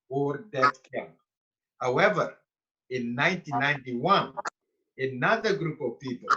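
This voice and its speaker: A man of eighty, from Bukovina, telling his life story slowly and carefully as a guest on a video call. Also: fairly high pitch, fairly bright tone. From Bukovina (Romania/Ukraine). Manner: slow, careful storytelling with pauses. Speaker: Holocaust survivor guest. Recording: dull lo-fi call audio.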